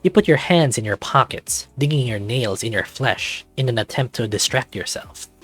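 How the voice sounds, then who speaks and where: Husky man narrating a story. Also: mid-range voice, expressive, moderate pace, fairly bright tone, soft background music. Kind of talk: scripted second-person story narration.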